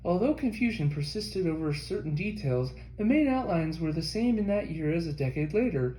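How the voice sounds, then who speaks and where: A man reading a history book aloud as an audiobook narrator, in a measured scripted delivery. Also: on the high side, big pitch swings, mellow, reverberant, rough recording. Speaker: audiobook reader.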